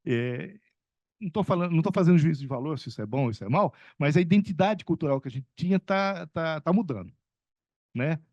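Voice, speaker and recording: Rambling Brazilian man on a panel, mellow voice. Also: a little high, lively intonation, fairly quick, dry recording, highs cut off. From São Paulo state, Brazil. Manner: rambling, many fillers and restarts, chuckles. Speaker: economics professor, panelist. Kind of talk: conversational talk at a panel.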